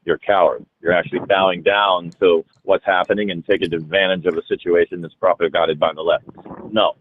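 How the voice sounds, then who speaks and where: A man's voice: low voice, steady tone, a little fast, husky, no echo. A man in his forties calling in to a heated political debate, combative and profane, lecturing his opponent. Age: 40s. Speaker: caller. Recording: tinny phone-like line with faint noise.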